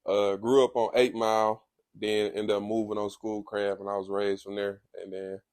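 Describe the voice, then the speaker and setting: A young man with a Detroit accent, telling stories about his upbringing in a laid-back, slang-heavy way, with a low, calm voice. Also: normal speed, no echo, clean studio sound. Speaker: guest, comedian. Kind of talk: casual interview chat.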